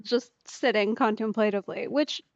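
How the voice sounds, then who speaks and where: A woman's voice: fairly high pitch, moderate pace, no room sound, highs cut off. A woman gaming streamer giving casual, wry let's play commentary, reacting aloud to the story.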